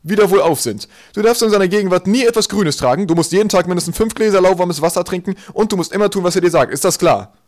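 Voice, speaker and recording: A man's voice: high voice, quick pace, few pauses, a little mellow, voice pushed up, natural voice. A man voicing a pompous, bossy father in a comic children's audiobook, speaking emphatically. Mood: solemn commanding.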